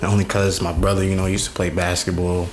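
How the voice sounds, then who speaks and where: A young man with a New York accent, a podcast guest telling stories in a relaxed, slangy way. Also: low-pitched, brisk, slight background noise. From Staten Island, New York. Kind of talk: casual interview chat.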